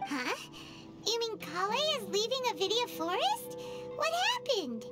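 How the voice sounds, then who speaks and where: A woman voicing a tiny childlike fairy companion in a video game, squeaky and full of concern. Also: huge pitch swings, unhurried, heavily acted. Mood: concerned, curious. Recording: game music behind the voice.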